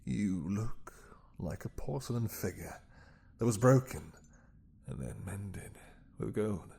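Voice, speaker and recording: An ancient dragon king, imprisoned and obsessed, acted by a man for an intimate audio roleplay. His voice sits fairly low and is very husky. He drawls slowly and hesitantly, slipping into murmured asides, seductive and teasing with menace underneath. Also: expressive, mellow, exaggerated voice. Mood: teasing, adoring. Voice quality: husky, breathy.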